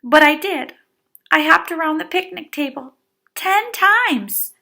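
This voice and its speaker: An earnest little schoolgirl from a storybook, acted out by a woman reader. The voice is pitched high and bright, excitable and insistent, eager to be noticed. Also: slow, creaky, full character voice. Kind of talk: children's storybook read-aloud.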